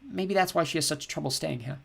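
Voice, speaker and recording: A man's voice: a little fast, creaky, husky, exaggerated voice. A man voicing a tender worried mother. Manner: shrill when upset, soft when tender. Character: anxious, tender mother of a sick baby.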